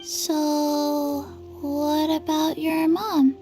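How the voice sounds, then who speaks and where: A woman voicing a small undead girl in a video game, deadpan and halting, with a soft childlike voice. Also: high-pitched, soft background music. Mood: blank. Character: small undead zombie girl, flat and slow.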